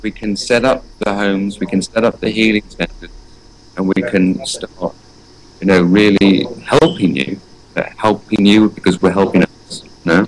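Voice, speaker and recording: A man's voice: fairly low pitch, fairly even intonation, normal speed, poor audio. A man in his forties from England, talking earnestly in a loose, rambling way with many fillers and restarts during an interview. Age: 40s. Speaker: guest, energy painter. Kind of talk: casual spoken interview.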